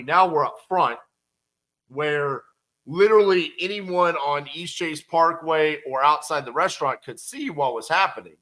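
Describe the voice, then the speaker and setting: A man with a bright, forceful voice recounts a personal story to his viewers. He speaks in a conversational way, with loose fillers along the way. He gets animated and acts out the voices of the people in the story. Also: fairly high pitch, low-quality recording. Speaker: channel host.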